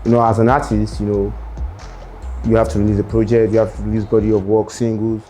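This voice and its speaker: A young Nigerian man talking about his rap career in an interview, unhurried and full of fillers and restarts. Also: on the low side, completely flat delivery, mellow, faint music behind. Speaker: rapper interviewee. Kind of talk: casual interview monologue.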